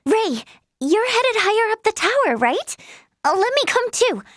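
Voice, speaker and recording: High, girlish, earnest young healer. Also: lively intonation, normal speed, no echo, studio quality. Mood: flustered, eager. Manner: earnest, polite, hesitant. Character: earnest, sweet, timid teenage girl healer. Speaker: voice actress. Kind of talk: video game character voice lines.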